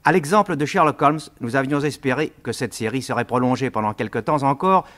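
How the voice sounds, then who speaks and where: A man presenting a radio series in a courteous, formal, measured 1950s French broadcast manner. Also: mid-range voice, fairly bright tone.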